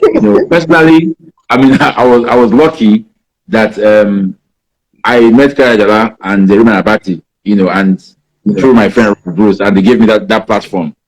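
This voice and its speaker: A Nigerian man in his fifties, a native Igbo speaker, answers interview questions about writing in a rambling way, filling pauses with "you know". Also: on the low side, poor audio. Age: 50s. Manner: rambling, many "you know" fillers and restarts. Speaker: writer being interviewed.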